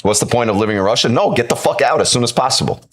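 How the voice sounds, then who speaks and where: A man's voice: mid-range voice, expressive, rapid-fire, slight background noise. A man from Ukraine hosting a live stream, talking casually and emphatically while answering chat, often repeating himself and adding "right?". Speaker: live-stream trading host.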